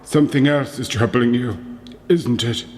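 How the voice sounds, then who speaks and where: Man playing a smooth bishop in an echoing hall. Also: mid-range voice, normal speed, darkish tone, slight background noise, close to an everyday voice. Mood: smooth, assured. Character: busy, self-assured male bishop, smoothly insistent. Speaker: radio play actor. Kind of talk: radio play acting. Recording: long echoing hall reverb.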